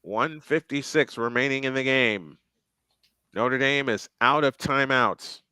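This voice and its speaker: Male play-by-play caller, chatty between plays, crisp and dry. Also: medium pitch, a little slow, pushed and bright, dry recording. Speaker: play-by-play commentator. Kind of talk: live football commentary.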